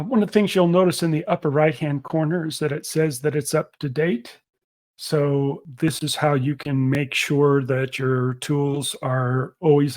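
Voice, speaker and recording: Man presenting a software webinar. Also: a little high. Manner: explanatory, steady, with frequent ums and uhs. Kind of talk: product demo webinar.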